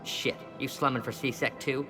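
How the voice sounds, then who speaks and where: A man's voice: medium pitch, fairly even intonation, measured pace, natural voice. Man acting a shifty arms dealer in an echoing hall. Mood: nervous, defensive. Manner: slangy, evasive, salesman-like. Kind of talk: naturalistic game acting. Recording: long hall reverb, loud music behind.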